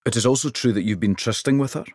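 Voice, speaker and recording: A man's voice: fairly low pitch, lively intonation, moderate pace, no room sound, clean studio sound, natural voice. A man voicing a jovial, towering king who speaks in a hearty, commanding way. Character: booming jovial giant of a king.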